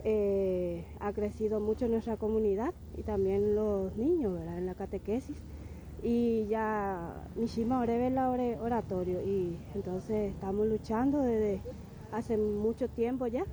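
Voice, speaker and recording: A woman's voice: mid-range voice, measured pace, mellow, a little hiss or hum, low-quality recording. A woman chapel coordinator speaking steadily with frequent fillers in a street interview.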